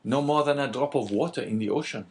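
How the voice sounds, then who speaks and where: A man's voice: medium pitch, fast, voice pushed up, lightly acted. A man voicing a bitter, wavering, talkative innkeeper in an audiobook, with a plaintive and emphatic manner. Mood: resigned.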